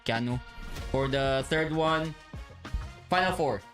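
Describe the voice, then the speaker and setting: A man's voice: fairly high pitch, slow pace, a bit bright, faint music behind. A man, a basketball league announcer, talking casually with many hesitations and repeats. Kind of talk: casual sports talk.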